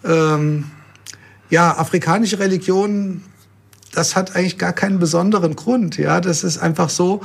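A middle-aged man with a gravelly, husky voice, pitched a little above most men's. He talks easily and explains things plainly, with a dry, joking touch. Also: normal speed. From Germany. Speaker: pastor and guest. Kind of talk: casual interview talk.

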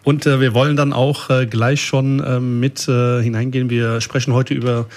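A man's voice: medium pitch, level tone, normal speed, a little mellow. A man hosting a local radio interview, speaking hesitantly with many ums and uhs. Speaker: host of a local radio show.

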